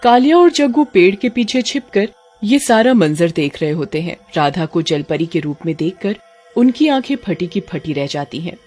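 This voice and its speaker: A woman's voice: lowish voice, very animated, moderate pace, darkish tone. A woman narrator telling a scripted children's cartoon story.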